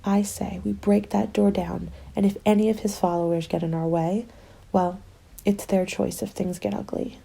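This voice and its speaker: A woman playing a starving, bitter former nurse surviving a zombie apocalypse, in a soft, hushed ASMR roleplay that drifts into whispers. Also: mid-range voice, flat delivery, normal speed. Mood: desperate, resolute. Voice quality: creaky, soft. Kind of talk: ASMR dramatic roleplay monologue. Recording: music under the voice. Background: music.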